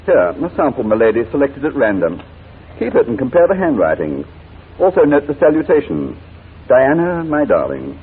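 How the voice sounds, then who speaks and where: An actor playing a coolly polite, menacing blackmailer in a 1940s radio drama, speaking in formal, mockingly courteous phrases. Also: male voice, medium pitch, wildly swooping pitch, normal speed. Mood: menacing persuasion. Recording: noisy, tinny 1940s radio recording.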